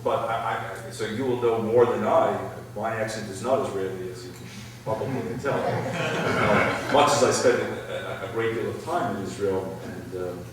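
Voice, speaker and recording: A middle-aged man answering audience questions at a public talk, thoughtful and halting, with many restarts. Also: lowish voice, fairly even intonation, a crowd in the background. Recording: echoey hall, far from the mic, faint noise.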